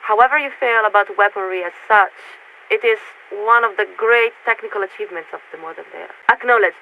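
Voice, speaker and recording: A woman playing a poised, politely bureaucratic military signaler in a video game, speaking formally and in measured phrases through a radio filter. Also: mid-range voice, creaky. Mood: assured, lofty. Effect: radio transmission filter. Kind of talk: scripted video game character dialogue. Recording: narrow radio band with static noise.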